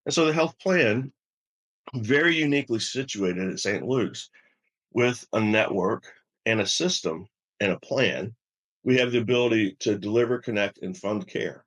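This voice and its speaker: A mature man, a medical executive, answering an interview in a measured, explanatory way, halting and repeating words as he builds his points. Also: fairly low pitch, a bit bright, low-quality recording. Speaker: health system chief medical officer, interview guest.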